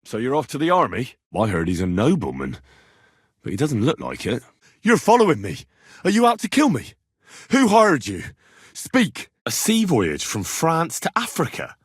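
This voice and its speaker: A man's voice: mid-range voice, huge pitch swings, normal speed, slightly rough, no echo, clean studio sound. A man voicing a young medieval man-at-arms in a video game, earnest, cheeky and plain-spoken, talking in a direct, inquisitive way. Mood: angry, alarmed. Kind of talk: video game dialogue acting.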